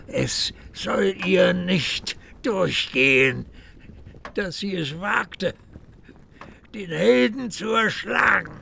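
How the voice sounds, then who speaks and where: A gravelly old warrior. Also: male voice, sits high, pushed and bright, a little hiss or hum, full character voice. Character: old male warrior, grim and wrathful. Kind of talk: radio play acting.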